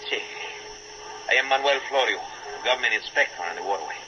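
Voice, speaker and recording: A man's voice: medium pitch, animated, fast, lightly acted. A man playing a formal, emphatic Latin American government inspector in a 1940s radio drama. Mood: formal, explaining. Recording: muffled, noisy old 1940s radio recording.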